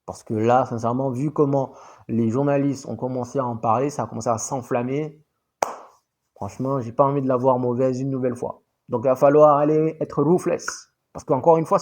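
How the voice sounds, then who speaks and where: A man casually talking football transfers to his viewers, lively and chatty, often calling out to them directly. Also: mid-range voice. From grew up in Saudi Arabia. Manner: animated, addresses viewers as guys. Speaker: football vlogger.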